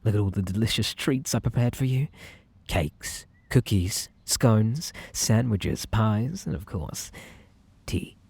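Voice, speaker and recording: A man playing a possessive, unhinged magical bunny boy in an ASMR roleplay, with a British accent, a breathy voice and a theatrically polite, coaxing, menacing manner. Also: fairly low pitch, expressive, slow, darkish tone, no room sound, heavily acted. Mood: cloyingly cheerful hospitality.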